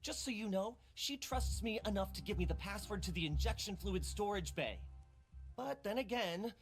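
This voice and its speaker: A man's voice: high-pitched, lively intonation, moderate pace, soft background music. A man playing an arrogant male researcher in a video game, haughty and whiny, prone to stammering when rattled. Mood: haughty, irritated. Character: arrogant, easily flustered male lab researcher.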